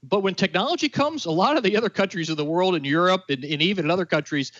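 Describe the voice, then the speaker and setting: A man's voice: high-pitched, expressive, quick pace, fairly bright tone, no echo. A man explaining a technical idea in conversation, earnest, with many fillers and restarts. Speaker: guest expert. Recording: dry, dull lo-fi sound.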